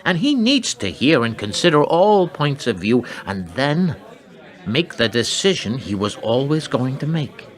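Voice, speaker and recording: Bloke playing a smug war-hawk defense secretary, radio drama, sardonic, bossy, swingy pitch, bit of crowd behind. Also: male voice, very animated, unhurried. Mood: menacing, cool. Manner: sardonic, commanding. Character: arrogant, hawkish Secretary of Defense, coolly menacing. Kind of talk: radio play acting.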